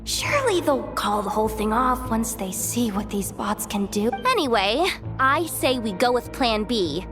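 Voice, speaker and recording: A woman's voice: on the high side, expressive, moderate pace, faint music behind, natural voice. A woman voicing a cheeky, breezy young female treasure hunter in a video game, with a flippant, chatty, theatrical manner. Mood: breezy explaining. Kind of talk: animated video game voice acting.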